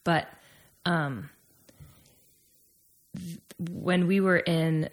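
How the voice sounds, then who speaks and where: A speaker with an American accent chatting informally, with halting, self-correcting phrasing. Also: medium pitch, fairly even intonation. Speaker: conversation partner swapping travel stories. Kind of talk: casual chat.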